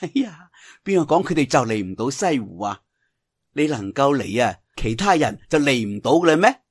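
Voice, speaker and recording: Soft, knowing young Cantonese heroine, acted by a man. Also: mid-range voice, sing-song, normal speed, husky. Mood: teasing. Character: clever young woman, teasing, composed martial arts heroine.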